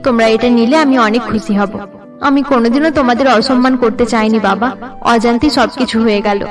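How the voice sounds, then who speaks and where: Woman as an earnest, high-voiced cartoon elder sister. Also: fairly quick, music in the background, lightly acted. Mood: apologetic. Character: dutiful, earnest elder daughter, young schoolteacher in love. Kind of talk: Bengali cartoon dialogue.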